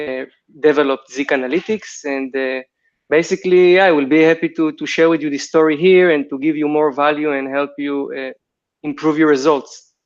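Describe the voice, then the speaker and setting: A man's voice: on the high side, clear, resonant tone, lo-fi sound. A man in his late twenties with an Israeli accent, telling his story as an interview guest, hesitant with many fillers and restarts. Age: 20s. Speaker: guest, software founder.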